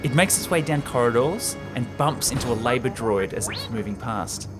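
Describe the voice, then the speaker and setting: A man's voice: mid-range voice, animated, normal speed. A man hosting a show, retelling a film script with enthusiastic, chatty asides. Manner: enthusiastic, banters with co-host. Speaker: podcast host. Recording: loud music behind the voice.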